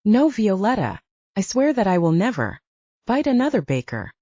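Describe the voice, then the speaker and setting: A woman's voice: medium pitch, sing-song, moderate pace, mellow, slightly rough, dry recording, muffled recording. A woman voicing an easygoing, flirtatious male lover, teasing and unbothered, in an audiobook.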